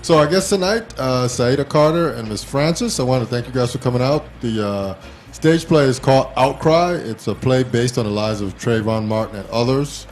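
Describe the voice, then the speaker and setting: A man's voice: medium pitch, moderate pace, faint music behind. A man hosting a casual, informal talk show interview in a self-deprecating way.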